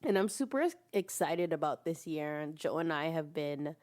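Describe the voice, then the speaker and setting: A woman's voice: deep voice, animated, moderate pace, darkish tone. A young woman co-hosting a casual podcast, upbeat and reflective, a little stuffy from a cold. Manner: upbeat, reflective, frequent "um" and laughs. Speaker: podcast co-host. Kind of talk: casual chat.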